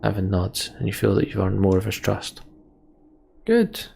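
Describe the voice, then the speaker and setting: Low, gravelly-voiced man narrating a game. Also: very animated, moderate pace, a little mellow. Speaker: let's play host reading game dialogue aloud. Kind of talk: narration of game text with casual asides. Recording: background noise.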